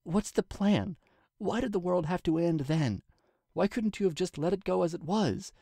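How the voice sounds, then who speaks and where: Casting: male reader as young pony princess for story drama; raised pitch, emotional, urgent, indignant pleading. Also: animated, normal speed, vocal fry, warm tone, dry recording, clean studio sound, heavily acted. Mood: distraught, demanding. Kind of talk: dramatic fan fiction reading.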